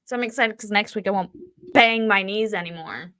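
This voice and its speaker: A woman co-hosting a livestream in casual chat, excitable and playful. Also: mid-range voice, fairly quick. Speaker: livestream co-host.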